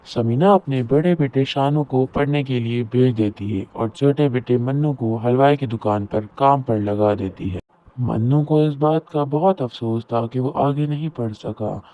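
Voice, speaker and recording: A man's voice: mid-range voice, a little slow. A man narrating a scripted moral story. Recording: roomy echo, very thin phone-like audio.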